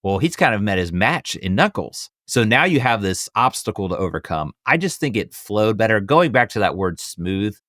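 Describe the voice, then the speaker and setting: Male podcast host, thirties, low voice, dry close sound, rambling and emphatic in casual review chat. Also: sing-song, normal speed, hardly any pauses, fairly bright tone, no echo.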